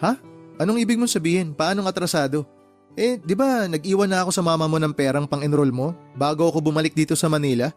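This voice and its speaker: A man's voice: fairly high pitch, quick pace, faint music behind, close to an everyday voice. Caring, emphatic uncle, acted by a man. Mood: startled, questioning. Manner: concerned, questioning, emphatic. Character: caring but exasperated uncle, generous working man. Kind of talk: radio drama dialogue.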